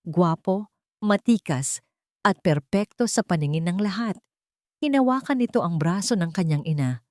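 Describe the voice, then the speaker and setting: Expressive woman narrating a dramatic story. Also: lowish voice, normal speed, no echo, studio quality. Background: music.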